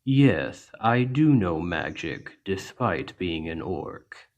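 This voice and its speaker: Man voicing a deep, slow-talking gentle orc. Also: sing-song, muffled recording, full character voice. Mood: calm, caring. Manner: slow, gentle, reassuring, deliberate. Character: towering seven-foot male orc healer, gentle and patient. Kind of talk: ASMR roleplay spoken to the listener.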